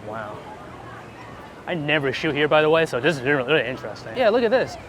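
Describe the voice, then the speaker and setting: Higher-voiced man chatting on a noisy street. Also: fast, crowd noise. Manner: animated, informal, laughs easily, some swearing. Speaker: interviewed street photographer. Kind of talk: casual chat while walking outdoors. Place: outdoors on busy city streets. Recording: clear city street traffic noise.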